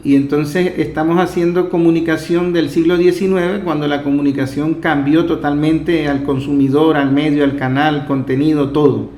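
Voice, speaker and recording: A man's voice: a little high, flat delivery, normal speed, few pauses, a little mellow. A man conducting a conversational interview. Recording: echoey room.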